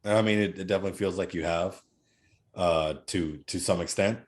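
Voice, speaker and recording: Deep-voiced young male podcast host. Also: fairly even intonation, moderate pace. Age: 20s. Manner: easygoing, short affirmations, casual slang. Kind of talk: casual interview.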